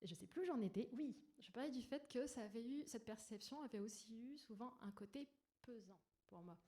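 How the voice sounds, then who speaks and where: A woman with a soft, gentle voice, speaking to an audience in a personal, lightly self-deprecating conference talk. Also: fairly high pitch, expressive, a little fast. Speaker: conference speaker, agile coach. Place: indoor conference room. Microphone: stage microphone. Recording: noisy live microphone in a talk room.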